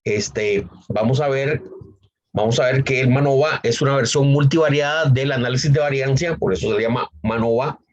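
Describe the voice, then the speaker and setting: Male statistics lecturer, hoarse with a cold, mid-range voice, filler-heavy delivery, dull lo-fi sound. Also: medium pitch. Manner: unhurried, with frequent fillers and repetitions. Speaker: university statistics professor. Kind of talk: online lecture.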